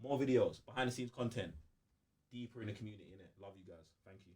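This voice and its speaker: A man's voice: lowish voice, quick pace, dark tone. A London-accented man co-hosting a gaming podcast with slangy, emphatic banter, often repeating his phrases. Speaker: podcast co-host.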